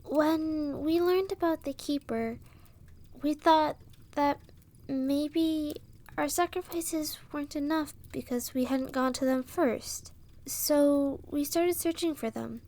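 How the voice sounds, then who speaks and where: A woman playing an earnest, sorrowful young villager and rebel in a fantasy audio roleplay, speaking with dramatic pauses. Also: high voice, a little mellow. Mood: troubled, hesitant. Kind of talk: audio roleplay acting, storytelling dialogue.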